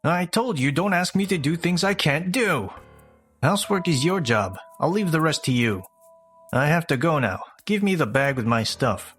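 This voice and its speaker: Man acting a dismissive, animated young husband. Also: a little high, normal speed, faint music behind. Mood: irritated, dismissive. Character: selfish, dismissive young husband who shirks chores. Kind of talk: scripted comic dub dialogue.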